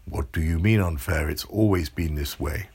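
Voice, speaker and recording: A man voicing a huge, bossy male grape monster who booms slowly and turns squeaky once he shrinks. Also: darkish tone, husky, full character voice. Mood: booming, stern. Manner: booming and slow, then squeaky once shrunk tiny.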